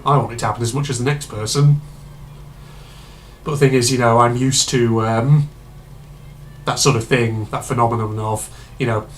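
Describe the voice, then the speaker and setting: Chatty man, slightly hoarse. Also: mid-range voice, a little hiss or hum. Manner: rambling, chatty, many fillers and asides. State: slightly hoarse and congested with a cold. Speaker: reaction video host. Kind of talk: casual reaction commentary.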